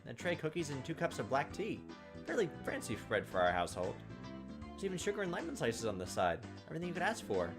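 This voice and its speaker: A man's voice: fairly high pitch, huge pitch swings, fast, over music. A man hosting a gaming video, reading visual novel narration aloud dramatically with casual asides.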